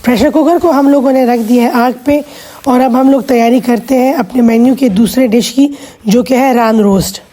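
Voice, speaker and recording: A woman hosting a cooking vlog with a hoarse voice, explaining each step patiently with chatty asides. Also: a little high, moderate pace, mellow. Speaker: cooking channel host.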